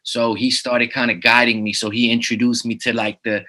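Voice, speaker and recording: A man's voice: mid-range voice, level tone, normal speed, pushed and bright. A man in his thirties who grew up in the Netherlands, a rapper talking as an interview guest in a laid-back way, full of "you know what I'm saying" fillers. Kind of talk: casual interview.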